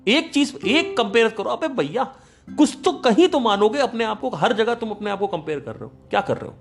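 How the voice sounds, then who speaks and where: A man hosting a podcast, giving a casual spiritual talk in an emphatic, animated storytelling manner. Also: high-pitched, fairly quick, vocal fry. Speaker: podcast host.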